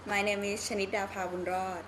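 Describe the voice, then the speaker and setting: A woman's voice: medium pitch, flat delivery, brisk, noisy background. Giggly young woman from Thailand. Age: young adult. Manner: giggly, short answers. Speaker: student. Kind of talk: casual chat.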